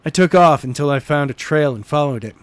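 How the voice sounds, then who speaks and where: A man's voice: fairly high pitch, a little fast, clear, resonant tone, no room sound. A man working as an amateur narrator and podcast co-host, reading a novel and chatting casually about it.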